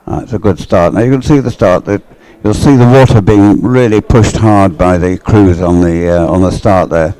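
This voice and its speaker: Deep-voiced man commentating on a race. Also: mellow, no room sound, low-quality recording. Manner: halting, thinking aloud in short phrases. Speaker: sports commentator. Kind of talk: live race commentary, explanatory and conversational.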